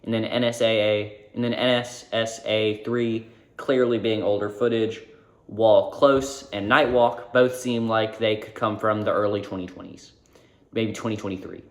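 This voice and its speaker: A man's voice: lowish voice, steady tone, moderate pace. A man narrating a casual video essay, informal and correcting himself now and then. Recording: roomy echo.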